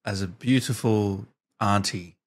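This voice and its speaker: A man's voice: on the low side, measured pace, breathy edge. A man in his thirties hosting a casual interview, speaking into a close desk microphone. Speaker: host, interviewer. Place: small indoor streaming room.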